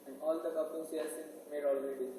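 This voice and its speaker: A man's voice: fairly high pitch, level tone, quick pace, warm tone, lightly acted. A man on stage playing a blunt village man. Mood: blunt wonder. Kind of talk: amateur stage drama acting. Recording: echoey hall from far off, faint noise.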